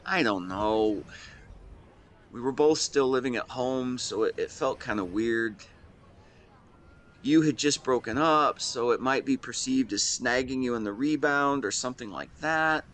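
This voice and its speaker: Slow-spoken, earnest young man acted in love. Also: mid-range voice, fairly bright tone, lightly acted. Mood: nervous, earnest. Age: young adult. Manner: earnest, halting, emotional delivery. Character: shy young man tenderly confessing love to best friend. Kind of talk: romantic audio roleplay, intimate acting.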